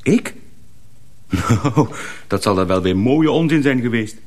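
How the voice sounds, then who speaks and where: Lively, good-natured mill lad, acted by a man. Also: mid-range voice, measured pace, close to an everyday voice. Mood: offhand. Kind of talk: radio drama acting.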